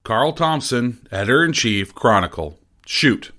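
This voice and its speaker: An actor playing a gruff, rude newspaper editor who mutters and swears to himself. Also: male voice, mid-range voice, lively intonation, measured pace, noisy background, lightly acted. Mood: grumbling.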